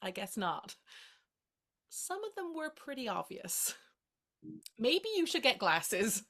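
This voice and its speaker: An actress playing a playful, tender young woman in a play reading, gently teasing as she courts her friend. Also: on the high side, big pitch swings, takes it slowly, exaggerated voice. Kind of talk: two-hander stage play reading.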